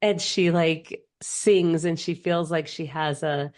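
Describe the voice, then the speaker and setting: A woman in her late thirties co-hosts a podcast over a video call. Her voice sits somewhat low and is still rough from a recent cold. She rambles cheerfully, with "like" scattered all through her talk. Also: steady tone, a little slow, darkish tone. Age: middle-aged. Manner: chatty, lots of "like", rambling storytelling. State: recovering from a cold, voice not fully back. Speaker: podcast co-host. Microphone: remote call mic.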